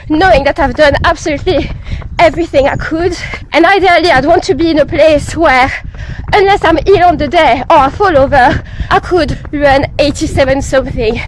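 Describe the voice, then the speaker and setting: Congested high-voiced woman on a run. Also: low-quality recording. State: congested with a head cold, mid-run. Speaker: running vlogger. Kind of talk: talking while out on a run. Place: outdoors on a run.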